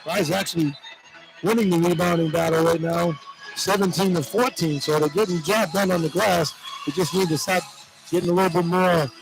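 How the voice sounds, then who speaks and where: A man's voice: high voice, steady tone, fairly quick, vocal fry, over music, rough recording. A man giving live basketball analysis as a color commentator, talking in a conversational, explanatory way. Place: indoor basketball arena.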